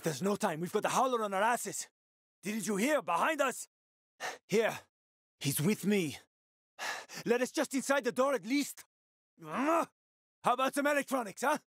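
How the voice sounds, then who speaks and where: A man's voice: sits high, animated, a little slow, pauses often, fairly bright tone, no room sound, studio quality, heavily acted. A male actor voicing a wry, streetwise survivor guide and ex-soldier in a video game, with colloquial, foul-mouthed talk. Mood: urgent, desperate. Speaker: video game companion character.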